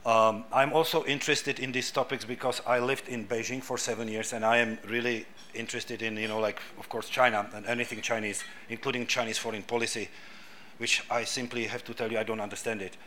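Czech-accented man, middle-aged, halting club talk with bright tone and light room noise. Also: medium pitch, little pitch movement. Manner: hesitant, many restarts and fillers. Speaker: guest speaker, filmmaker. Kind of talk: public talk at a club event.

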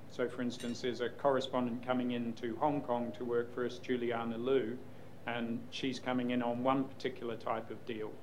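A man in a question session, answering at length in a measured way with frequent pauses and ums. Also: mid-range voice, slight background noise, rough recording. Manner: measured, hesitant with many ums. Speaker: news executive answering questions. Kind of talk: Q&A panel talk.

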